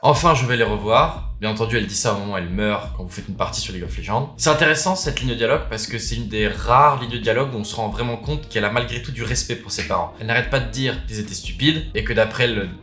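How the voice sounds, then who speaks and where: A man with a fairly low voice talks very quickly as he hosts an explanatory video. His commentary is informal and enthusiastic. The room echoes noticeably around him, with music underneath. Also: sharp tone. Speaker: video host. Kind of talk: casual explanatory commentary on game lore. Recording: roomy echo, music underneath. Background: background music.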